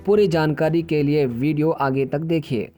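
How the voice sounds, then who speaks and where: A man's voice: on the high side, fairly even intonation, normal speed, mellow. A man reading scripted news as a presenter. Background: background music.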